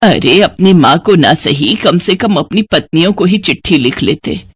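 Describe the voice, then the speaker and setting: A man's voice: on the high side, expressive, normal speed, breathy edge, no echo, heavily acted. A man voicing a worried, pleading old village mother-in-law in a moral story cartoon.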